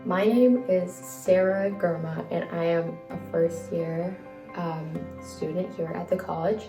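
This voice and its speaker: A woman's voice: on the low side, steady tone, slow pace. A young woman from Massachusetts, a first-year college student talking casually with fillers. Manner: casual, uses "like" and "um". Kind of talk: casual interview answers. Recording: roomy echo, music underneath.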